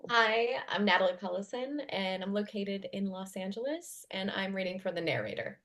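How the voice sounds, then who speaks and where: A woman's voice: mid-range voice, expressive, normal speed, fairly bright tone. Female narrator, medium register, online script table read. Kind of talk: reading screenplay directions aloud. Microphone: video call microphone.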